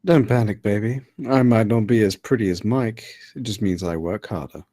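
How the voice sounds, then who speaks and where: A man's voice: fairly low pitch, normal speed, mellow, dry recording, clean studio sound, lightly acted. A man playing a cheeky, self-deprecating, eager husband in a screenplay table read. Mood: cheeky, excited.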